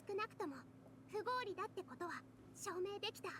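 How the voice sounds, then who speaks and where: Determined young anime game heroine, earnest, extremely high, quick, noisy background. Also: female voice, animated. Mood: earnest, firm. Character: earnest, determined young female theater performer. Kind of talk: anime game voice acting in Japanese.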